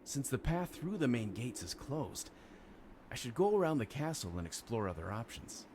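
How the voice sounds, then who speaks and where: An actor playing a kind, resourceful adventurer hero in a video game, expressively thinking aloud. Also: male voice, mid-range voice, very animated, moderate pace, background noise, lightly acted. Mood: pondering. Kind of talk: scripted video game player-character remarks. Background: music.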